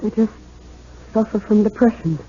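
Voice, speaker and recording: An actress playing a high-strung, melancholy young wife in a radio drama, nervous, hesitant and plaintive. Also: female voice, moderate pace, dark tone, close to an everyday voice. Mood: despondent. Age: young adult. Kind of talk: 1970s radio drama acting. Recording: muffled, hissy 1970s radio recording.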